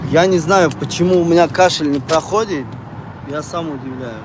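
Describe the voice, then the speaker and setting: A man's voice: a little high, normal speed. A man from Tajikistan, chatting casually and ramblingly with viewers on a livestream. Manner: rambling, conversational, repeats phrases. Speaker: live streamer. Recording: noisy outdoor or car street noise.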